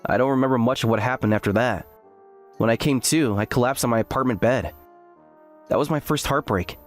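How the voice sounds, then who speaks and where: A man's voice: medium pitch, a little fast, faint music behind, natural voice. A man playing an earnest, self-deprecating graduate student who narrates his story in an anime-style dub. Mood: despairing. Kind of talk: first-person scripted narration with acted dialogue.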